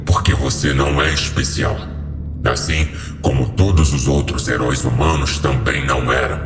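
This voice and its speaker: A man's voice: a bass voice, fairly even intonation, moderate pace, voice pushed down. A man playing an ancient, solemn and commanding fallen being who speaks in stately, cryptic pronouncements in a horror audio story. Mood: grave, reassuring. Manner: solemn, stately, portentous delivery. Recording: heavily reverberant voice over music.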